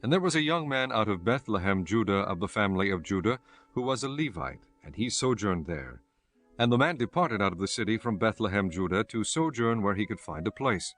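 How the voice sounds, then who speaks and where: A man's voice: on the low side, expressive, fairly quick, fairly bright tone, soft background music. A man giving a scripted narration of scripture as narrator of an audio drama.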